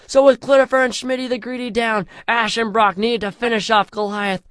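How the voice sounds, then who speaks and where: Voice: medium pitch, steady tone, a little fast, a bit bright, no echo, studio quality. A storyteller reading a scripted comic fantasy parody in a mock-epic way, drawing out the chapter headings.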